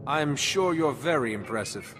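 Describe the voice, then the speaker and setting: An actor playing a calm, resolute warrior-monk hero with a measured, dryly understated manner in a video game cutscene. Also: male voice, mid-range voice, lightly acted. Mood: composed, dry. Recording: music behind the voice.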